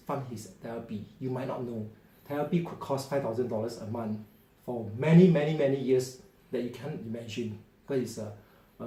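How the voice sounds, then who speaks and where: A man's voice: medium pitch, dark tone. A middle-aged man with a Singaporean English accent, a financial planner and author making an earnest, conversational appeal to his viewers. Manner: earnest, loose and conversational with frequent restarts. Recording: echoey room with faint ambient noise.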